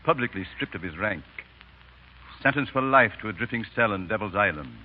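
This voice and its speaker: A man's voice: lowish voice, lively intonation, a little fast, lightly acted. An actor in a 1930s radio drama playing a grave, compassionate French man of letters, speaking with earnest drama. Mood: grave, moved. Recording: dull, hissy 1930s radio recording.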